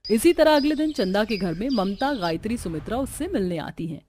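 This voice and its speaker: A woman reading scripted narration for an animated family story as its storyteller. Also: medium pitch, brisk, slight background noise.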